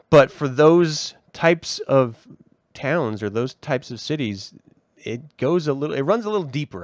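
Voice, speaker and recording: An American man hosting a casual discussion, speaking reflectively with halting phrasing and the odd swear word. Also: mid-range voice, no echo, studio quality. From Ogden, Utah, United States. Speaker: host of a reading and discussion show.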